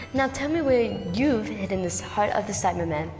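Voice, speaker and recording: An actress playing a no-nonsense, sarcastic Time Lord agent with a dry, brisk manner in a science-fiction audio drama. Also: female voice, medium pitch, animated, lightly acted. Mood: confident. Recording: long reverb with music behind.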